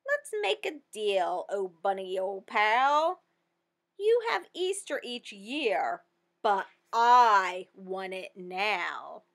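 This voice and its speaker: A woman's voice: medium pitch, very animated, very slow, exaggerated voice. A woman voicing a jealous, boastful little hen in a storybook, with indignant, emphatic delivery. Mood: bossy.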